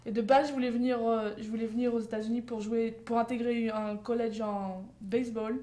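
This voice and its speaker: A woman's voice: on the high side, flat delivery, a little fast, dark tone. A young woman from France, answering interview questions fluently. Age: young adult. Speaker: guest, softball player.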